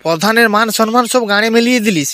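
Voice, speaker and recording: Bossy, cursing village headman played by a man, very high cartoon voice, rural West Bengal accent, noisy recording. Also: very fast, bright, forceful tone. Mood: furious. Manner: berating, crude, bossy. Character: irate, foul-mouthed male village council chief. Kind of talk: comic cartoon voice acting.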